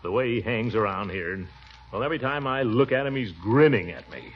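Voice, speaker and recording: Blustery pompous uncle, lively swooping voice, dull radio sound, faint hiss. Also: male voice, lowish voice, fairly quick, husky. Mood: blustering. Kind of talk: 1940s radio sitcom acting.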